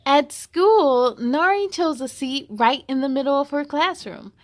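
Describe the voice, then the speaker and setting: High-pitched woman reading slowly to children. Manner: sing-song, expressive, exclaiming to children. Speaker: children's story time host and reader. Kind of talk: children's picture book read-aloud with asides to the young audience.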